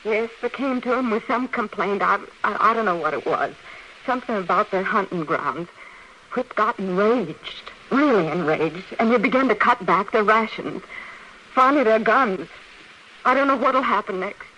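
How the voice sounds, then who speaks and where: A faintly noisy vintage radio recording of a woman's tense, bright voice. She is a frightened frontier wife, evasive and halting, repeating herself nervously in western melodrama. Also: medium pitch, expressive, highs cut off. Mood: distressed.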